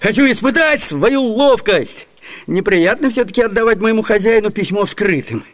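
A scatterbrained sly servant in an old radio comedy, really high voice, flustered and stammery, always wheedling. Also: male voice, expressive, normal speed. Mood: musing. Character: scatterbrained, crafty, hungry young servant, comic male trickster. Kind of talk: theatrical 1980s Soviet radio comedy acting.

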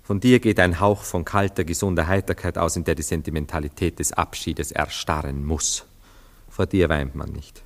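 An actor playing a self-dramatizing, sentimental Viennese bachelor with two lovers, effusive and drawn-out, in a German radio drama. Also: male voice, low-pitched, steady tone. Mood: earnest, dramatic. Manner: effusive, with swooping emphasis and drawn-out words.

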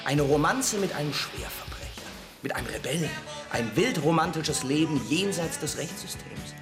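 Menacing, animated rebel prisoner. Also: male voice, on the high side, moderate pace, over music. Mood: menacing, taunting. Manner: taunting, forceful commands. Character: defiant, menacing male prisoner, taunting rebel. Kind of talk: radio drama acting.

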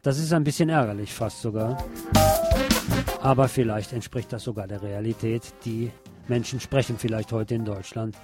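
Mature male radio host, medium pitch, deliberate review delivery with music underneath. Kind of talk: spoken review on a radio show.

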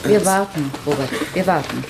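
An actress playing a harried, indignant forty-year-old schoolteacher in a radio crime drama, articulate and easily flustered. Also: female voice, fairly low pitch, moderate pace, heavy background noise, lightly acted. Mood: composed, lecturing.

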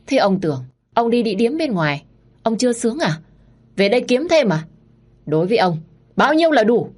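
Casting: shrill jealous house mistress, played by a woman, husky, scolding. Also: very animated, racing. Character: jealous sharp-tongued middle-aged mistress of the house.

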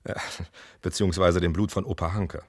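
A man's voice: sits low, fairly even intonation, moderate pace. An actor playing an ambitious, self-assured male medical researcher in a German radio play, speaking in a wry, enthusiastic conversational way. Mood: elated, teasing.

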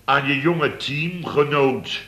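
An actor playing a blustering, sarcastic boss of a building firm in a radio comedy, sputtering with theatrical exasperation. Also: male voice, fairly high pitch, slow pace, a bit bright. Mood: gushing. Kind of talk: radio comedy serial acting.

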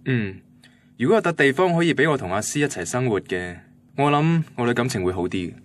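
A man's voice: medium pitch, lively intonation, brisk, lightly acted. A man with a Hong Kong Cantonese accent playing an earnest, reserved young professional in a radio drama. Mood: reflective. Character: earnest, reserved young male architect turned organic farming manager. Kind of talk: radio drama narration and dialogue.

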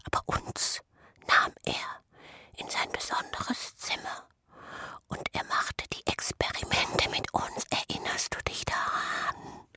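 A man voicing a scarred, obsessive twin brother in a radio drama, insistent and secretive, with a breathy, raspy whisper. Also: takes it slowly, bright, forceful tone. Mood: haunted whisper. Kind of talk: intimate radio drama acting.

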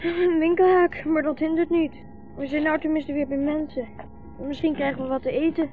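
Woman acting small footsore boy, high voice, earnest, observant, old radio drama, muffled narrow recording. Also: fairly even intonation, moderate pace, soft background music, lightly acted. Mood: watchful. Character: young boy, hungry, footsore, trusting guide. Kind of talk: 1960s radio play acting.

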